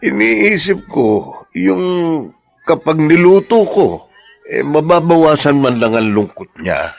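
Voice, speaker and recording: An actor playing a humble, kindly, awkward man in a Filipino drama, speaking haltingly. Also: on the high side, sing-song, creaky, no echo, exaggerated voice. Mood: sheepish, worried. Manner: hesitant, halting. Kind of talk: Filipino radio-style drama acting.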